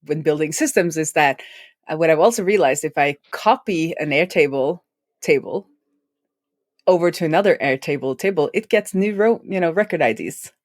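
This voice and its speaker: A woman's voice: low-pitched, animated, moderate pace, no room sound, clean studio sound. A woman with a head cold and a Norwegian first language, co-hosting a casual tech chat, rambling and laughing often. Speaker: co-host.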